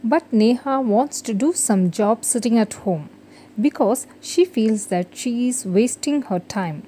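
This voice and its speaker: A woman's voice: a little high, animated, a little slow, warm tone, slightly rough. A woman reading a scripted moral story for children as its narrator.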